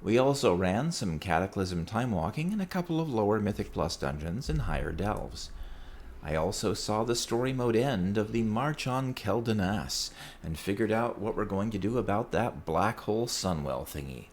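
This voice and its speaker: A man's voice: lowish voice, lively intonation, moderate pace. A man giving a prepared, playful report as a listener contributing to a gaming podcast, with some noise behind him. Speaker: listener sending in a recorded report. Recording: noticeable background noise.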